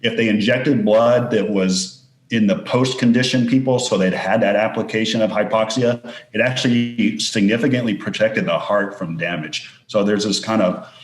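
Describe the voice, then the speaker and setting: Deep-voiced West Texas man. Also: normal speed, roomy sound. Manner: explanatory, relaxed, with frequent restarts. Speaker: physical therapist guest. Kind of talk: casual podcast interview.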